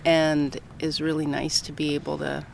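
A woman's voice: deep voice, little pitch movement, moderate pace, creaky, a little mellow. A middle-aged American woman from Colorado chatting casually as an interviewee outdoors. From Colorado, United States. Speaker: interviewee, professor. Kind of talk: casual conversation. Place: outdoors on a coastal path. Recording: light outdoor ambient noise.

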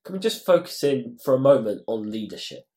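A man's voice: medium pitch, big pitch swings, fairly quick, dark tone. A man hosting a podcast, reading an introduction and asking short interview questions. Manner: brief scripted questions. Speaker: podcast host.

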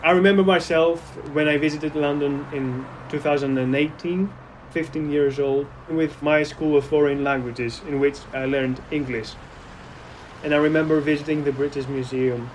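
A man's voice: fairly high pitch, slight background noise. A young man in his twenties from Greece, speaking English with a Greek accent in an earnest, conversational interview. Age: 20s. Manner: earnest, with frequent "you know" fillers.

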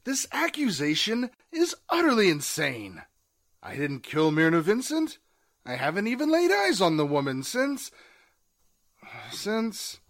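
An actor playing a nervous young artist accused of murder, defensive and hesitant. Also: male voice, sits high, wildly swooping pitch, a little slow, a bit bright, full character voice. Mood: indignant. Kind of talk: amateur audio drama acting.

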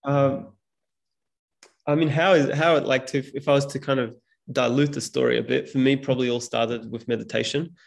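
A man's voice: mid-range voice, fairly even intonation, brisk, creaky. A man whose first language is Serbian, speaking as a podcast guest in a reflective, hesitant way with many fillers. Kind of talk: casual conversation.

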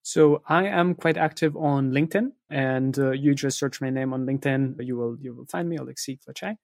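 A man's voice: mid-range voice, moderate pace, vocal fry, a little mellow, no echo, studio quality. A man speaking as an interview guest, explaining things step by step in conversation and often checking in with "right?" and "you know". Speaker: interview guest, content writer who interviews experts.

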